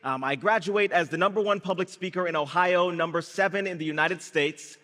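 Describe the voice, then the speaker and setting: A high, bright young man's voice carries through a large reverberant event hall. He is a founder from Brazil, raised in Guyana, telling his story to a live audience. He uses quick jokes and calls to the crowd, with the polish of a trained public speaker. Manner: energetic storytelling, comic timing, addresses the crowd. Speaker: startup founder giving a gala speech. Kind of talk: live stage speech with audience call and response.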